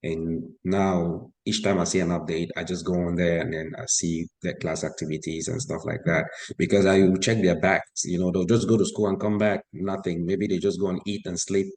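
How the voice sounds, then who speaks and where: A man with a low-pitched voice talks as a guest on a talk show. He speaks conversationally, giving his own account as a parent. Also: level tone, normal speed, hardly any pauses, a little mellow.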